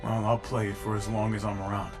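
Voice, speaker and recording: An actor playing a big, stoic, world-weary fighter in a video game cutscene, dry and terse. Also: male voice, on the low side, completely flat delivery, brisk, loud music behind the voice, lightly acted. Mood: weary, grave.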